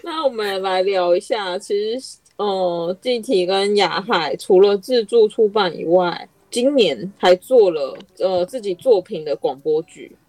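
A woman's voice: medium pitch, animated, measured pace, hardly any pauses, darkish tone, no echo, soft background music. A woman with a Taiwanese Mandarin accent hosting a casual podcast chat and putting questions to her guests. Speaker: podcast host.

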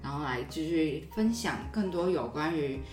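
A young woman with a low voice and a Taiwanese Mandarin accent hosts a podcast. She explains things in a casual, conversational way. The sound is roomy and a little off the mic, with music underneath. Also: animated, moderate pace, darkish tone, recorded from a distance. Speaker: podcast host.

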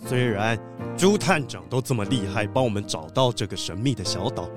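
An actor playing a kindly, principled gentleman treasure collector, earnest and expressive, in a children's audio drama. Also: lowish voice, a little fast, music in the background, close to an everyday voice.

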